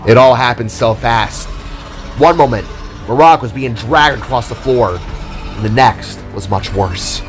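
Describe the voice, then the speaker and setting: A man's voice: mid-range voice, a little slow, faint music behind. A man narrating a scripted story in a dramatic style.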